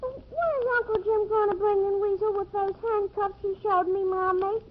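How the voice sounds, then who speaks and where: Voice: extremely high pitch, steady tone, normal speed. A performer playing a small, eager, chatty boy in an old-time radio mystery, earnest and childlike. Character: small chatty boy who loves counting. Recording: muffled, noisy old radio recording.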